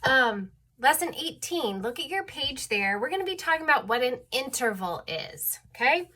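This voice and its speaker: A woman's voice: sits high, very animated, moderate pace, pushed and bright. A woman teaching an online music theory lesson, a little stuffed up, patiently explaining and often checking in with "okay?".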